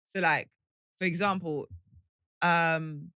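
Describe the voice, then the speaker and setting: A woman's voice: on the low side, steady tone, slow pace, bright, forceful tone, clear, resonant tone, no echo, studio quality. A young woman from the UK, co-hosting a podcast and earnestly explaining her points in casual, slangy chat. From United Kingdom. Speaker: podcast co-host.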